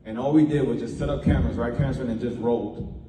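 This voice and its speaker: A man's voice: mid-range voice, fairly even intonation, fast, dark tone, reverberant, in a large hall, recorded from a distance, a little hiss or hum. A man from Trinidad giving an earnest, emphatic motivational talk at a media workshop. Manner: earnest, emphatic, with repeated phrases.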